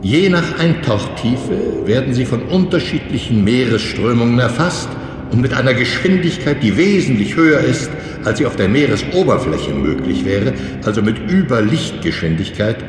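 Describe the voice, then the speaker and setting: An actor playing a methodical professor, formally explaining in the didactic style of a sci-fi audio drama lecture. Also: male voice, medium pitch, sing-song, moderate pace, lightly acted. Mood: patient, explanatory. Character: male professor lecturing trainee pilots, instructive and methodical. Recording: echoey lecture hall, music underneath.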